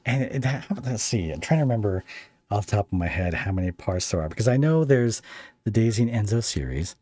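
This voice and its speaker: A man hosting a casual interview podcast, halting and rambling as he thinks aloud and puts questions to a guest. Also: on the low side, lively intonation, mellow, slightly rough, no echo.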